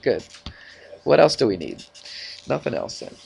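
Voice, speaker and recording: A man's voice: medium pitch, big pitch swings, moderate pace, gravelly, a little mellow, slight background noise, poor audio. Congested man teaching math informally. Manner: informal, checking with frequent questions. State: congested, stuffed-up nose. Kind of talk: classroom worked-problem lesson. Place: classroom.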